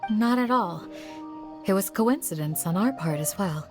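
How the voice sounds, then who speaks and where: A woman's voice: mid-range voice, expressive, unhurried, lightly acted. A woman playing a composed, courteous female guardian in an anime game, speaking formally and politely. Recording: faint music behind the voice.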